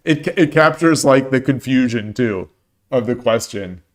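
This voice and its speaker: A man streaming an online board game, casually thinking aloud in a low-key way, often repeating himself as he plays. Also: normal speed. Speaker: streamer playing online shogi. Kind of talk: casual live-stream commentary.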